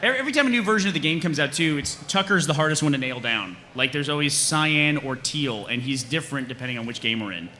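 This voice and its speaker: Fast-talking man with a bright, forceful voice. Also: a little high. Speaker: panelist and cast member at a fan convention. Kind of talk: casual panel talk answering audience questions. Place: convention panel table before an audience. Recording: some background noise, live convention panel.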